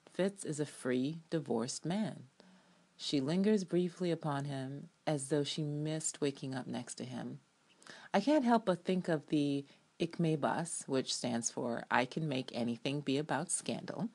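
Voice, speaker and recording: A woman with a low-pitched voice reads her review essay aloud as a performance. Her delivery is expressive and theatrical, and she slips in playful asides as a fan reviewer. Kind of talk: dramatic reading of a written TV review essay.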